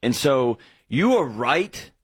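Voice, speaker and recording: A man's voice: medium pitch, big pitch swings, takes it slowly, slightly rough, no room sound, clean studio sound. A man in his forties co-hosting an advice call-in show, talking earnestly and reflectively with frequent restarts. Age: 40s. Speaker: co-host.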